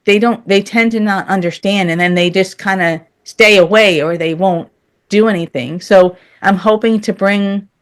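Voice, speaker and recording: Woman, interview guest. Candid, earnest conversational delivery at a medium pitch. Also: normal speed.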